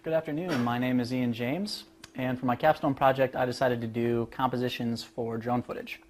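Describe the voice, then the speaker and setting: A young man giving a prepared student presentation, explaining his work in a polite, measured way. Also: mid-range voice, fairly even intonation, slight background noise. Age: young adult.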